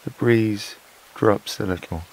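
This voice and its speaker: A man narrating a scripted sleep meditation in a calm, soothing, unhurried way, with long pauses between phrases. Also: on the low side, vocal fry, a little hiss or hum. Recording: water and rain sounds behind voice. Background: flowing stream, rain-like ambience.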